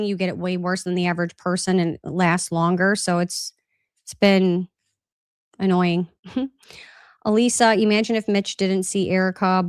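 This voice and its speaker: A woman's voice: fairly low pitch, clear, resonant tone, no echo, studio quality. A woman hosting a casual live-stream Q&A, reading out viewer comments in a loose, rambling way, a little congested with a slight cough. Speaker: podcast host. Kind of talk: live-stream recap and chat Q&A.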